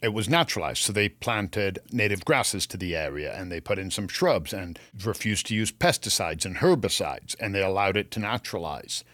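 A man's voice: low voice, expressive, moderate pace, few pauses, a bit bright, no room sound. A man from England co-hosting a gardening show, chatting casually and opinionatedly, telling stories with acted-out quotes.

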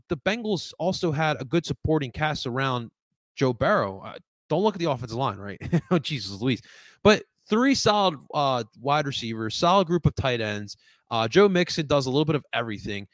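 A man's voice: on the high side, no echo. A man with a light Latin American Spanish accent hosting a casual live sports talk show, rambling, repeating words and reading out viewer comments. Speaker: sports podcast host reading live chat.

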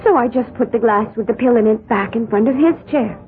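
A woman's voice: fairly high pitch, a little fast, faint music behind, close to an everyday voice. An actress playing an odd young woman who speaks in a placid, matter-of-fact, faintly dreamy way, in a 1970s radio drama. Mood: calm. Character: serene, odd young woman with a strange secret. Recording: thin, phone-like old radio recording.

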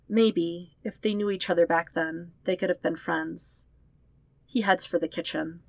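Low-pitched woman narrating, close and dry. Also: fairly even intonation, a little slow, gravelly, no echo. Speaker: podfic narrator. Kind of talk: scripted fan fiction narration.

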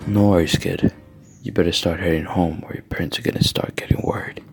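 A man's voice: low voice, fairly even intonation, brisk, creaky, a little mellow, faint music behind. A man playing an earnest, stern but caring basketball coach in an audio drama, speaking in solemn motivational speeches. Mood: encouraging. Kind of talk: student audio drama acting.

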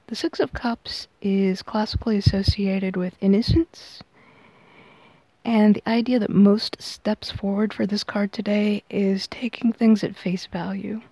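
A woman hosting a tarot reading, explaining it in measured, unscripted phrases. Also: mid-range voice, warm tone, dry recording, low-quality recording. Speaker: tarot reader and podcast host.

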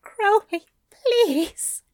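Woman as a high-pitched, prim, tender gentleman angel. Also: expressive, very slow, exaggerated voice. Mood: pleading, tender. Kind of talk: audio fiction character acting.

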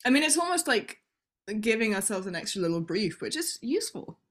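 A woman with an English accent co-hosting a casual podcast about theatre-making, quick to chime in and agree. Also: on the high side, big pitch swings. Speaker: podcast co-host, theatre maker. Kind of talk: casual chat.

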